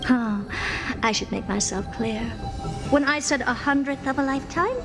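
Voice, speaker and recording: An imperious genius scholar from a video game, with a fairly high voice over clear background music. She is smug and playful, and delivers everything with pompous, mocking theatricality. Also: normal speed. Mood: mocking, gloating.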